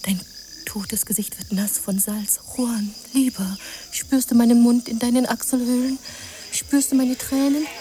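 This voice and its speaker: A woman's voice: on the high side, steady tone, measured pace, mellow, close to an everyday voice. An actress playing an impassioned woman who tells a lurid adventure fantasy in a melodramatic, intense radio play manner, at times whispering. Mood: tender, grieving. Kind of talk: radio play narration and acting. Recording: noisy jungle ambience behind.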